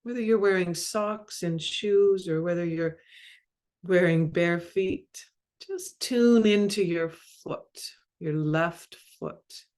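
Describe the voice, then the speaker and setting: A woman leading a guided meditation as a mindfulness coach, speaking calmly and measuredly in short phrases with long pauses. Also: on the low side. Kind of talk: guided body scan meditation.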